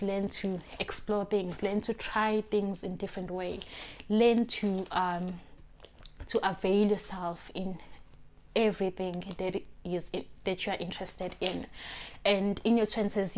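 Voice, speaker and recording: A South African woman in her twenties giving life advice to camera, earnest and emphatic, often repeating words as she searches for the next one, on a lapel mic in a small room. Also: mid-range voice. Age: 20s. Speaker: vlogger. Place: small indoor room, plain wall.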